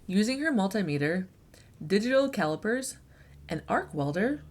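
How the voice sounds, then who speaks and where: A woman reading a children's picture book aloud in an expressive storyteller's delivery. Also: medium pitch, normal speed, a little hiss or hum.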